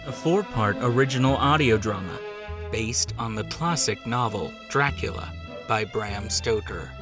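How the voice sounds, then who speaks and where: A man's voice: on the low side, measured pace. Solemn male announcer over fiddle music. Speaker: narrator. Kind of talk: scripted show intro.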